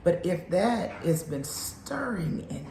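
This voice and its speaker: A woman's voice: lowish voice, sing-song, slow, mellow, reverberant, slight background noise. A woman in her fifties, a counselor, giving an earnest, encouraging faith talk. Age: 50s.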